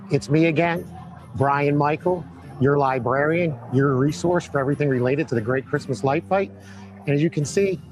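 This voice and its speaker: Hoarse upbeat man hosting live outdoors. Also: fairly high pitch, low-quality recording. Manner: upbeat, repeats phrases for emphasis. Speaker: host. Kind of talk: live-stream interview. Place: outdoors at a Christmas light display by a road.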